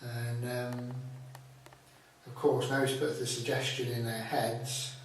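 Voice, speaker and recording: A man talking calmly and hesitantly, with many pauses, while ghost hunting in a large building at night. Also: mid-range voice, recorded from a distance. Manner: calm, hesitant, many ums. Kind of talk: casual on-location chat. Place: large echoey building, a former jail, at night. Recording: very echoey, from off-mic, faint noise.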